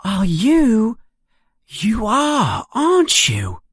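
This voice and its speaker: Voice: medium pitch, wildly swooping pitch, extremely slow, husky, dry recording, clean studio sound, voice pushed up, full character voice. A narrator voicing an eager, curious, self-conscious eleven-year-old wizard boy in a storybook. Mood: excited.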